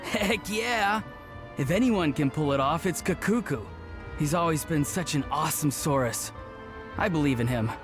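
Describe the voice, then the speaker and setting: A man's voice: fairly high pitch, moderate pace, over music, close to an everyday voice. A man voicing an earnest, upbeat and cheeky young friend in a video game. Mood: admiring.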